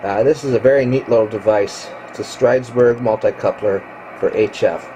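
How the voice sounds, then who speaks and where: A man giving a casual, hands-on video walkthrough as a hobbyist, explaining technical gear in a matter-of-fact, halting way into a handheld camera. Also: lowish voice, steady tone, mellow. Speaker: ham radio hobbyist showing his equipment. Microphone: handheld camera microphone. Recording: clearly noisy handheld camera recording.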